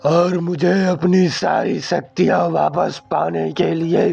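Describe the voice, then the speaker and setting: A man voicing a crazed flesh-eating male ghost in a horror cartoon, talking in a slow, gravelly, menacing drawl. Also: fairly high pitch, little pitch movement, a little mellow, soft background music, exaggerated voice. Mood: scheming. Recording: muffled lo-fi recording.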